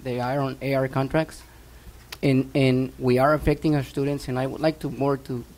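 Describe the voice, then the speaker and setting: A man's voice: mid-range voice, flat delivery, moderate pace, darkish tone, poor audio. A man who is a school board member, giving earnest remarks at a meeting with frequent fillers.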